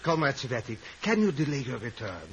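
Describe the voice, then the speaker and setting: An actor playing a jovial, scheming French papa with a French accent, effusive in 1950s radio drama style. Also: male voice, mid-range voice, very animated, fairly quick, a little hiss or hum. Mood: jovial, sly. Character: jovial, scheming middle-aged French-speaking communist papa.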